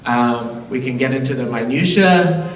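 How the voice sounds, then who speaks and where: Artist from Liberia, male, mid-pitched, slow and thoughtful delivery with fillers; distant mic, roomy gallery echo. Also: slight background noise. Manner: slow, halting, many fillers. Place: large echoey gallery indoors. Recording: roomy hall, far from mic.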